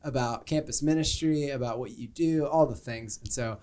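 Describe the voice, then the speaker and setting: A man's voice: mid-range voice, moderate pace, a little mellow. A man hosting a ministry show, chatting casually and informally with jokes as he interviews a guest. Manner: jokey, informal.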